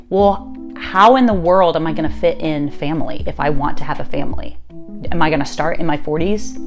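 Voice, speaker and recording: A woman in her late twenties with a deep voice, candidly telling her own story to camera in a chatty vlog, with asides and rhetorical questions. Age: late 20s. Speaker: content creator and musical theatre actress.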